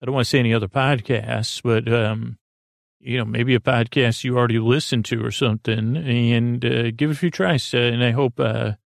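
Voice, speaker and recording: A man with a creaky voice hosting a sleep podcast, meandering haltingly through a bedtime monologue and trailing off mid-thought. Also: mid-range voice, fairly even intonation, no room sound, studio quality. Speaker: podcast host. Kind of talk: rambling bedtime monologue to help listeners sleep.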